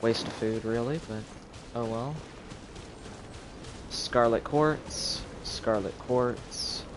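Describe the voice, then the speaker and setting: A man doing casual live game commentary, thinking aloud in a low-key way. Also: very slow, noisy background. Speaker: game streamer. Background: video game music and effects.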